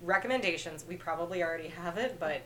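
A young woman co-hosting a podcast in casual, excitable, giggly film chat. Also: lowish voice, fast talker, a little hiss or hum, poor audio. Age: young adult. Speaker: podcast co-host. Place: bedroom, indoors.

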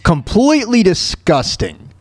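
A man's voice: sits high, huge pitch swings, unhurried, dry recording. A man hosting a talk show with casual, opinionated commentary, often stammering and repeating his phrases as he goes. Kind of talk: casual off-the-cuff radio commentary.

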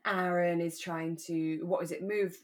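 A woman with a fairly low voice talks as a podcast co-host. She chats casually about a drama series in a reflective way, often checking that her listener agrees. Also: steady tone, unhurried. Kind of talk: casual discussion of a radio drama.

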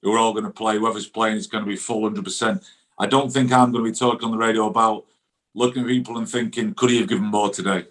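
A man's voice: fairly low pitch, fairly even intonation, quick pace, fairly bright tone. A middle-aged man, chatting casually and colloquially about football as a former professional goalkeeper. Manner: chatty, colloquial, often adds "you know what I mean".

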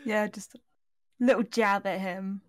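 A woman's voice: medium pitch, steady tone, a little slow. A woman co-hosting a casual film chat as a giggly, gushing fan. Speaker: podcast co-host.

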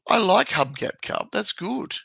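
A man hosting a casual podcast, chatty and quick to laugh at his own stories. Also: sits high, big pitch swings, creaky, a bit bright, slightly rough, dry recording. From Australia. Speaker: podcast host.

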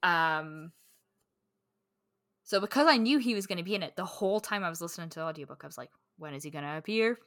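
A woman with a bright voice on the lower side talks casually about books as a podcast co-host. She is candid and sweary, often interrupting herself mid-thought. The recording is close and dry. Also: lively intonation, fast, dry recording. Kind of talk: casual book chat.

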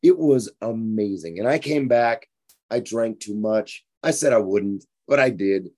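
A hoarse, tired man in his forties, hosting a fitness podcast in a frank, rambling motivational monologue. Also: on the low side, expressive, a little slow, dark tone. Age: 40s. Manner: rambling, frank, repeats himself.

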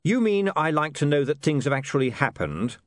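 A man's voice: on the high side, very animated, a little fast, no echo, clean studio sound, pitched above the performer's own voice. A man voicing an earnest, principled young newspaperman in an audiobook, speaking precisely, formally and with some exasperation. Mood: sceptical.

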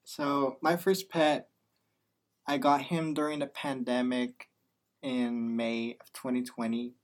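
A man's voice: medium pitch, flat delivery, slow. A nineteen-year-old man co-hosting a podcast, playful and teasing in casual chat. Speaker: podcast co-host, business student. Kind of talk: scripted intro, then casual chat.

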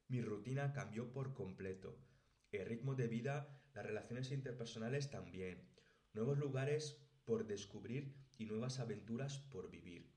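Guy late 20s w/ spain spanish accent, lowish voice, reading out his podcast script, roomy echo. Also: a little mellow. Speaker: podcast host and language teacher. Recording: roomy, echoey sound.

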